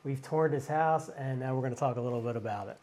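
A man's voice: medium pitch, fast, a little mellow. A man with a Philadelphia accent, a realtor hosting a podcast interview, who asks brief, encouraging questions. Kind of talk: conversational interview.

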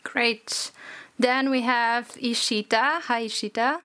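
A woman hosting a podcast panel, introducing guests and asking questions in a friendly, measured way. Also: on the high side, fairly even intonation, pushed and bright. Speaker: podcast host.

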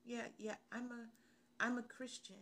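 A woman's voice: a little high, level tone, moderate pace, fairly bright tone. A middle-aged woman preaching on the radio, opening with prayer and then asking rhetorical questions and repeating phrases.

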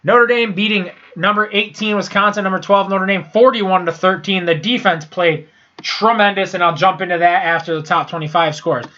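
Congested, foul-mouthed young man with a bright voice. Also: high voice, steady tone, normal speed, lo-fi sound. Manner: profane, blunt and rambling, with frequent restarts. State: congested with a sinus infection. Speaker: sports podcast host. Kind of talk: casual solo sports recap.

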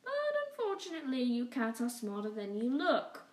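A woman's voice: on the high side, very animated. A woman voicing a cruel, sneering rat king. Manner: sneering, drawn-out menacing speech. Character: scheming male opossum rat king, boastful, cruel. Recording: echoey room, mic at a distance.

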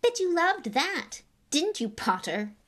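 A woman's voice: high voice, big pitch swings, takes it slowly, a bit bright, voice pushed up, full character voice. A woman voicing a spiteful schoolboy wizard, with a drawling, sneering delivery. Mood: mocking.

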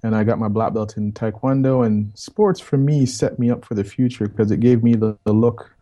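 A man in his fifties from Jamaica, with a Jamaican accent, telling his life story as an interview guest in a rambling, relaxed way, repeating words and saying "man". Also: fairly low pitch, moderate pace. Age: 50s.

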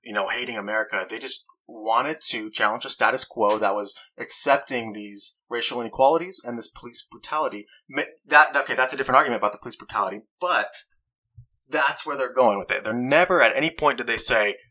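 Bright-voiced young man arguing earnestly. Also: mid-range voice, a little fast. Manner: earnest, argumentative, reads quotes aloud. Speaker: podcast host. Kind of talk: casual debate chat.

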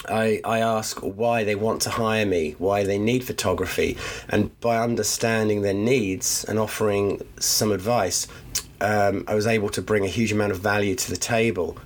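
Low-voiced guy with a blocked-up flu nose, explains things thoughtfully on a podcast call. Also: slightly rough. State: congested from flu. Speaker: guest photographer. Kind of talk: podcast interview. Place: his studio. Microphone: phone line.

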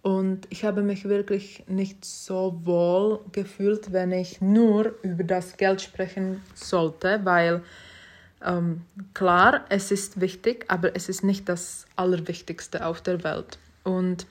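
A woman's voice: medium pitch, little pitch movement, slow pace. A young woman hosting her own podcast, speaking German as a second language in a hesitant, reflective way with many fillers and restarts. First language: not German. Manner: self-reflective, encouraging. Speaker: podcast host. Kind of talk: casual personal monologue.

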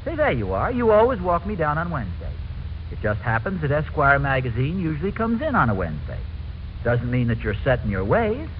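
An actor playing a stubborn, chatty suburban husband who keeps justifying himself, in old-time radio sitcom style. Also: male voice, on the high side, huge pitch swings, brisk. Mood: insistent, defensive. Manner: self-justifying, answers his own questions. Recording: muffled, noisy old radio recording.

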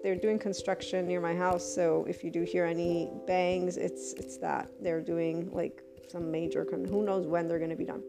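Woman podcast host, loud and clearly enunciated, gravelly fry in a lowish voice, headset mic, loud music underneath. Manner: loud voice, enunciates carefully, gets passionate. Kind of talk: scripted intro then casual podcast talk.

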